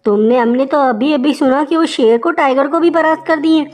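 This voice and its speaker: A woman voicing a wary, excitable female wolf from a pack in a children's story. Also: sits high, moderate pace, pitched above the performer's own voice, close to an everyday voice. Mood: incredulous. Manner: excitable, protesting.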